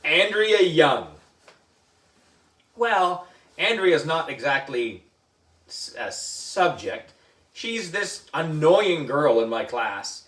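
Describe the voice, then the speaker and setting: Animated man reading aloud in an echoey room. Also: fairly high pitch, slow pace, pauses often. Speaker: book reader for a children's book club. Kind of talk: animated children's story reading. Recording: echoey room, distant mic.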